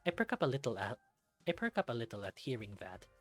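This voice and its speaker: A man's voice: mid-range voice, very animated, fast. A man reading first-person narration from a visual novel as a scripted read. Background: music.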